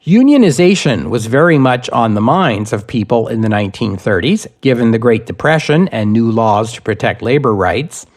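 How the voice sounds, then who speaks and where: A man narrating scripted history as a podcast host, in measured, deliberate phrasing. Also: medium pitch, animated.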